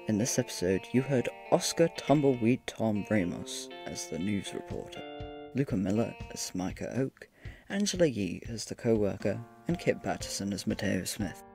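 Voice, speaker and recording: Guy reading scripted podcast credits, deadpan, kinda low voice, bit of music. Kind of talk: scripted end credits with joke tip.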